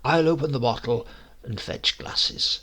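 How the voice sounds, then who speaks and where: A man voicing a smooth-talking older uncle, a secret poisoner with sly, drawn-out politeness, in a storybook reading. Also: medium pitch, bright, forceful tone. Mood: agreeable, sly. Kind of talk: acted dialogue in a storybook reading.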